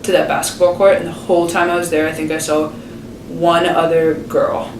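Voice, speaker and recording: A young woman, a college student athlete, recalling an experience in a measured interview. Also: deep voice, little pitch movement. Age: young adult. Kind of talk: interview recollection. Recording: echoey room from a distance with noise.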